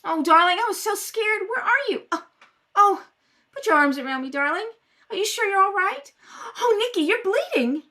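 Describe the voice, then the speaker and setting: A woman's voice: very high-pitched, sing-song, normal speed, bright, forceful tone, heavily acted. A woman playing an anxious, inquisitive and affectionate wife in an amateur radio mystery read-through. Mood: frightened, relieved. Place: at a kitchen table at home.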